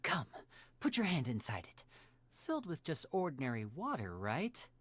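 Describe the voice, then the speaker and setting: A woman's voice: a bass voice, huge pitch swings, moderate pace, heavily acted. A woman voicing a charming, teasing young male stage magician in a video game, with a theatrical, playful manner. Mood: playful showmanship. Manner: theatrical, playful, smooth-talking. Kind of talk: scripted video game voice acting.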